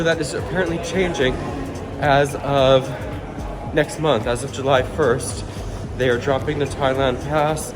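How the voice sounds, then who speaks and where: Chatty upbeat man vlogging while traveling. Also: medium pitch. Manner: chatty and upbeat, talking to viewers. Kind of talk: casual travel vlog narration. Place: airport terminal, then car on the road.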